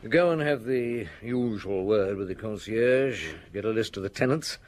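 Actor as a patient, low-voiced detective chief. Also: male voice, animated, close to an everyday voice. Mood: businesslike. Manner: measured, probing, with thoughtful hums and pauses. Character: patient, shrewd middle-aged male police chief inspector. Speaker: actor in radio drama. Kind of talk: radio drama acting.

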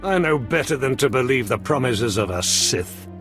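Man acting a bitter, animated defector scientist. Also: mid-range voice, moderate pace, music in the background, natural voice. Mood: bitter, impassioned. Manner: dramatic, impassioned, sardonic. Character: weary, bitter, idealistic defector scientist, defiant and fearful. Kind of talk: video game character dialogue.

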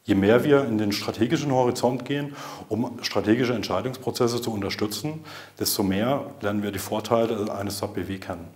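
A man in his thirties with a fairly low voice delivers a scripted corporate explainer. He speaks formally and in a measured way, like a consultant addressing clients on camera. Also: a little mellow. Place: indoor video studio. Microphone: lapel mic.

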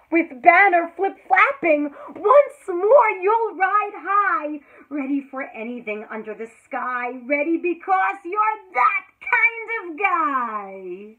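A teacher in her thirties reads a children's picture book aloud, her high voice leaping and dipping with every line. She lingers slowly over the words, dropping to a hush and then bursting out loud. The sound comes through thin and tinny, like a phone line. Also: very animated. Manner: dramatic, swings between hushed and loud exclamations. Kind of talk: expressive read-aloud storytelling for children. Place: small indoor room before a green backdrop. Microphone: built-in camera mic at close range.

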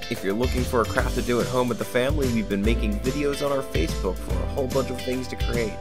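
A man's voice: medium pitch, fast. A man reads scripted promotional narration as a presenter for a learning center. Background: guitar music.

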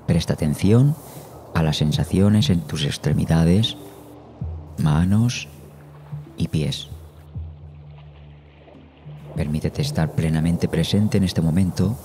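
A man narrating a guided meditation in Spanish as the guide, slowly and soothingly, with measured phrases and long pauses. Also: a bass voice, warm tone. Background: meditation music.

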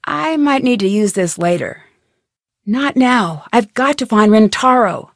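A woman with an American accent playing a plucky, curious teenage girl detective in a video game, thinking aloud in a clear, chipper way. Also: on the high side, lively intonation, a little slow, no echo, clean studio sound. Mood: thoughtful, determined. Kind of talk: scripted video game voice lines.

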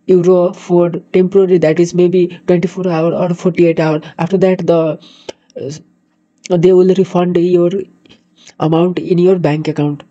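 A man with a Nepali accent and a very dark, soft-edged tone hosts a tutorial in an even, flat melody. He speaks haltingly and repeats words, and the microphone sound is dull and lo-fi. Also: high-pitched. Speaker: tutorial host. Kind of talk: screen-recorded tutorial narration.

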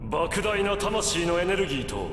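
A man's voice: high voice, sing-song, measured pace, a bit bright, a little hiss or hum, heavily acted. A male actor playing a grandiose, ruthless vampire villain in a video game, speaking in a theatrical, menacing, pompous manner. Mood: grandiose, gloating. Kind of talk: Japanese video game voice acting.

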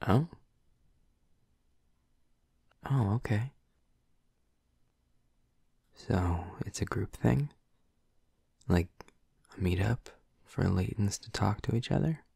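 Low, breathy, gentle vampire boyfriend, acted by a man. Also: lively intonation, slow pace, long pauses, no echo, studio quality, exaggerated voice. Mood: gentle interest. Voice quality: breathy, husky, often near a whisper. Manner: gentle, hesitant, softly attentive. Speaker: audio roleplay performer. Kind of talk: intimate audio roleplay, ASMR-like.

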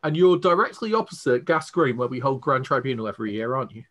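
A man's voice: mid-range voice, animated, fast talker, a bit bright. A man with an English accent, casually explaining a tabletop roleplaying game as its game master.

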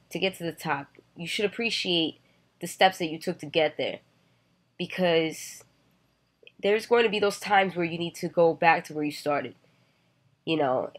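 A woman in her thirties telling a personal story to her webcam from a bedroom, earnest and reflective, with many "you know" fillers. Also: low-pitched. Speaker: vlogger. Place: bedroom, indoors. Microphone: webcam at desk distance.

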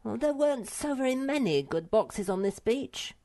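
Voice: medium pitch, animated, normal speed, darkish tone, no echo, studio quality, pitched above the performer's own voice, natural voice. A storybook narrator voicing a small, timid but self-important young male creature, earnest, plaintive and solemn. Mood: proud.

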